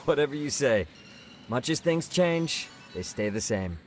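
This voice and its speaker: A man's voice: mid-range voice, expressive, a little slow, faint music behind, close to an everyday voice. A man playing a cheeky, skeptical friend in an English-dubbed crime game, with a wry, teasing manner.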